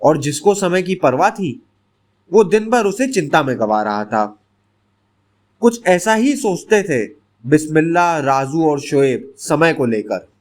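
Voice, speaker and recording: A man narrating a scripted bedtime story in an expressive, dramatic storytelling manner. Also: a little high, a little slow.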